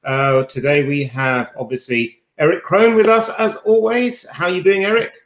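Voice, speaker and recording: A man's voice: on the high side, fairly even intonation, moderate pace. Male podcast host in an echoey room. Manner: teasing, dryly joking. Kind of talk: casual banter on a news discussion show. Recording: roomy, echoey sound.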